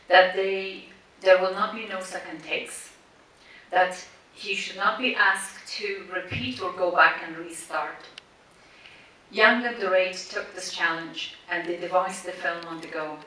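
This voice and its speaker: A woman whose first language is Persian speaks in a lowish, bright voice with measured, deliberate phrasing. She is heard from a distance in a cinema hall with a strong echo and a faint hiss. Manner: measured, deliberate phrasing with listed words and pauses. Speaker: speaker introducing a tribute screening. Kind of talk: prepared memorial speech. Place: large cinema auditorium, standing on stage. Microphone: stand mic, recorded by a distant camera in the audience.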